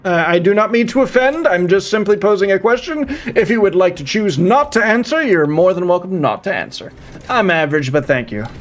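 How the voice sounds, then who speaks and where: A man's voice: high-pitched, animated, a little fast. A man in his thirties chatting casually as a live streamer, rambling and often repeating his phrases. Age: 30s. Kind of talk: casual chat with viewers while gaming.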